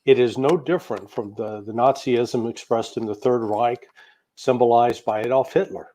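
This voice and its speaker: A male American commentator with a medium-pitched voice, talking as an interview guest. He gives opinionated political analysis off the cuff, emphatically stacking one point on the next. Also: normal speed, warm tone. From United States. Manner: emphatic, piling up lists, opinionated.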